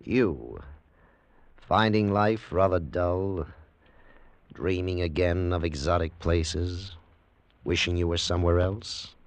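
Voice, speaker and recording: Deep, slow, portentous radio announcer. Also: male voice, no room sound. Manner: portentous, inviting. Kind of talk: 1940s-50s dramatic radio intro.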